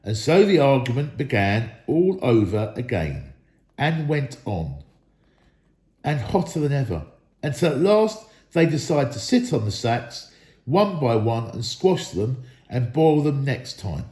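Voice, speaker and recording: A man's voice: medium pitch, sing-song, unhurried, darkish tone. A man reading a story aloud expressively, in the style of children's storytelling, in an echoey room. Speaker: storybook reader, school staff member.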